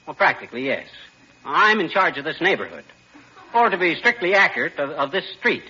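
An American actor playing a blustering, folksy, self-important husband in 1940s radio comedy, boastful and defensive in slangy speech. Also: male voice, fairly high pitch, big pitch swings, fairly quick, bright, forceful tone, full character voice. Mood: sheepish. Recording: dull, lo-fi 1940s radio recording.

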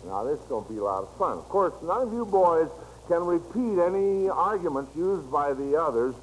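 A man's voice: fairly high pitch, big pitch swings, moderate pace. An American man hosting an old-time radio quiz show, encouraging and praising the contestants as he reads out questions. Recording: noisy old radio recording.

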